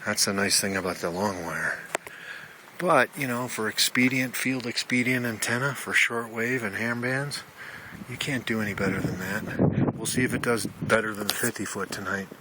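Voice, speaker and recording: A man's voice: fairly low pitch, normal speed. A man talking casually and folksily in a rambling explanation, recorded outdoors on a handheld camera as he shows his radio hobby setup. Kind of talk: casual handheld video tour. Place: outdoors in wooded mountain country. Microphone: handheld camera microphone. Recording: noisy outdoor camera audio.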